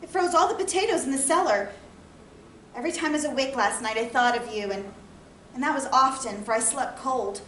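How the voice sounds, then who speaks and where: A woman's voice: sits high, brisk, a bit bright. An actress playing a loving, anxious farm wife of the 1860s, speaking her letters to her husband as an emotive stage monologue in plain, old-fashioned rural phrasing. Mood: worried, tender. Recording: roomy, distant mic with background noise.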